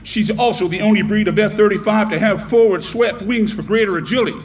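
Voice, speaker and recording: An actor playing a brash, tough air force commander with a commanding, profane swagger in an audio drama. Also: male voice, high-pitched, a little fast, close to an everyday voice. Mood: swaggering, stern. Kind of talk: audio drama military speech.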